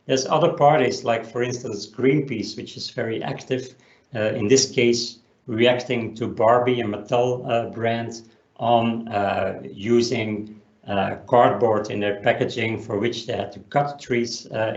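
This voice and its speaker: A man gives an explanatory business webinar presentation, often pausing on an uh. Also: medium pitch. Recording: roomy echo, dull lo-fi sound.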